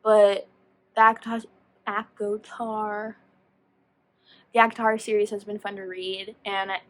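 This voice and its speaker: A woman's voice: medium pitch, level tone, measured pace, a bit bright. A woman chatting casually to viewers as a book vlogger, rambling and self-deprecating as she goes off on tangents. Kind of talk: casual chatty video talk.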